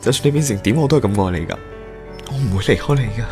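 A man's voice: medium pitch, animated, very fast, natural voice. A man playing a devoted, lovelorn young groom in radio drama. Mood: reassuring. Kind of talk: Cantonese radio drama acting. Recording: music under the voice.

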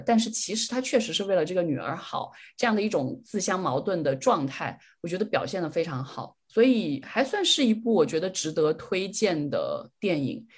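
A young woman hosts a casual podcast in a slightly low, husky voice. She talks reflectively, sharing her views and repeating words when she wants to stress a point. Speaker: podcast host. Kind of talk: casual solo podcast chat, sharing film opinions.

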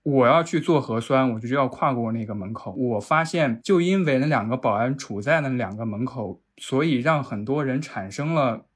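A man's voice: medium pitch, expressive, moderate pace, few pauses, breathy edge. A man hosting a casual, reflective conversation, explaining things through detailed anecdotes. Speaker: podcast host.

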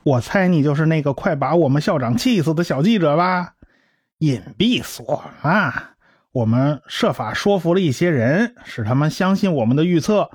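A man's voice: fairly high pitch, animated, moderate pace, breathy edge, dry recording, studio quality. A man playing a cocky, talkative scientist in a radio drama, with a blustering, explanatory way of talking. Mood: chatty, smug. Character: cocky, talkative male scientist and science populariser, brash and lively. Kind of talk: Chinese radio drama acting.

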